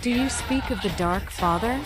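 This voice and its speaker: A woman's voice: a little high, normal speed, lightly acted. A woman voicing a mad, eerily playful vampire in a video game, speaking in riddles with archaic, theatrical phrasing. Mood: questioning, cryptic. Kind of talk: video game protagonist dialogue, fan-voiced. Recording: game music behind the voice.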